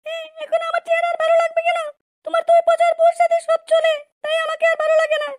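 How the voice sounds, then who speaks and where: A woman voicing an aggrieved young village wife in an amateur comedy cartoon, squeaky and scolding in an indignant, complaining way. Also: completely flat delivery, fast talker. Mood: hurt, angry. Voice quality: squeaky, artificially high-pitched.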